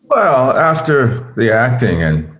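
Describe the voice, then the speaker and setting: An actor playing a vain, dim, evasive movie star with a halting, sheepish manner in a scripted table read. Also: male voice, medium pitch, very animated, a bit bright. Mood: awkward.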